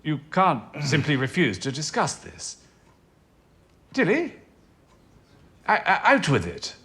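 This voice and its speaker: An actor with a British accent playing a courteous, humane wartime intelligence official in a radio drama, speaking with hesitant politeness. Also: male voice, a little high, wildly swooping pitch, slow pace, fairly bright tone, husky, exaggerated voice. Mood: alarmed concern.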